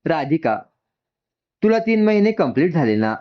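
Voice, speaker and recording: A brisk, bossy madam from a narrated story, played by a man, gives orders in a businesslike way. The intonation is animated and the recording a touch muffled. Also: fairly high pitch, voice pushed up. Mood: brisk, commanding. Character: brisk, authoritative madam giving instructions.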